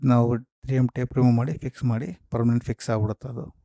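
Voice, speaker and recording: Man with a dark, mellow, creaky voice. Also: medium pitch, flat delivery, quick pace, no room sound, studio quality. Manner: explanatory, step-by-step, mixing in English terms. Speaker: host of a gear unboxing and review video. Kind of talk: casual product walkthrough.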